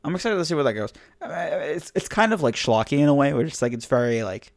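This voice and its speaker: A man's voice: fairly high pitch, animated, quick pace, gravelly. A young man hosting a podcast, losing his voice, chatting casually with lots of laughs and fillers. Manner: rambling, laughs often, many fillers. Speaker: podcast host. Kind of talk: casual chat.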